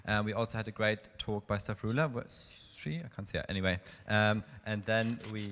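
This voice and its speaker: Low-voiced man presenting in a hall. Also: fairly even intonation, moderate pace, fairly bright tone, a little hiss or hum. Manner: summarizing from notes. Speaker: session coordinator presenting results. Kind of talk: conference presentation summary. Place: conference hall. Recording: reverberant hall recording.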